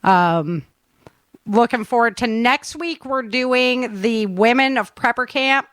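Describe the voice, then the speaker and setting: Clear-voiced woman hosting a podcast, upbeat. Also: a little high, takes it slowly, fairly bright tone, no echo. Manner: upbeat, informal. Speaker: podcast host. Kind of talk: casual chat and sign-off.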